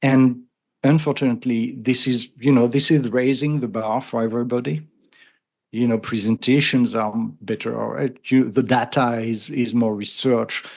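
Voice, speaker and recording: Gravelly-voiced middle-aged Frenchman. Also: mid-range voice, steady tone, normal speed, a little mellow. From France. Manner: thoughtful, restarts phrases often. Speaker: guest. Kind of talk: conversational interview.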